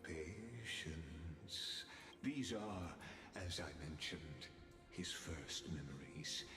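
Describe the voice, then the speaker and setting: An actor playing a solemn, cryptic guide through old memories in a fantasy game, speaking in a stately, portentous way. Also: male voice, deep voice, animated, very slow, full character voice. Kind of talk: scripted fantasy game acting. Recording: long echoey reverb, game music behind.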